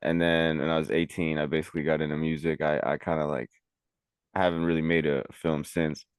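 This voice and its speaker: Casting: young guy rapper from Venezuela, extremely low voice, loose slangy rambling, dry close sound. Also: level tone, fairly quick, smooth, no echo. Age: young adult. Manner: laid-back, rambling, heavy on "like" and slang. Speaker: rapper and recording engineer. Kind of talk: casual interview chat.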